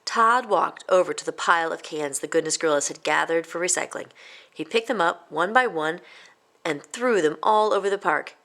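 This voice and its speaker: A woman reading a children's picture book aloud as expressive story narration. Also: on the low side, normal speed. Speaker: storybook reader.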